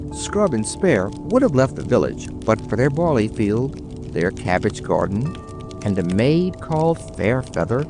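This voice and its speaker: A man narrating a scripted bedtime fairy tale dramatically as a storyteller. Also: mid-range voice. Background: background music.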